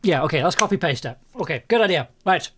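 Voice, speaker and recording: A man's voice: fairly high pitch, animated, quick pace, background noise. A man hosting a casual livestream group chat, often repeating and rephrasing himself as he talks. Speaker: host.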